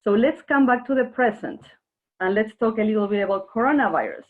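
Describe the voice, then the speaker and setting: A woman's voice: on the high side, lively intonation, brisk. A woman from Argentina giving an earnest workshop presentation online, speaking directly to her audience. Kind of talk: webinar presentation. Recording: narrow phone-like online call audio.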